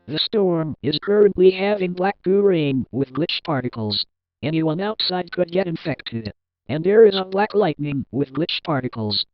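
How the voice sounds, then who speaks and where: A man's voice: fairly high pitch, lively intonation, moderate pace, dry recording. A man reading a scripted emergency alert in a formal announcer manner. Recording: dull lo-fi alert audio, music behind.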